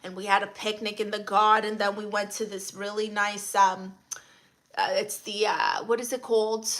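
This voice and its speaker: Bright-voiced Brooklyn woman chatting on a livestream. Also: medium pitch, little pitch movement, normal speed. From Brooklyn, New York, USA. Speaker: livestream host. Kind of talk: casual livestream chat, greeting viewers and reading comments.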